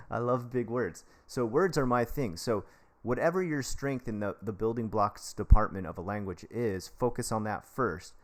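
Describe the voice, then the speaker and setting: A man's voice: medium pitch, a little slow. An American man, an English teacher, giving casual advice on a live stream in a rambling, jokey, chummy way, talking straight to his viewers. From United States. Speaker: livestream advice host.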